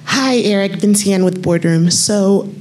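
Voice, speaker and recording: A female journalist asking questions at a press conference in measured, formal phrasing. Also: mid-range voice, darkish tone.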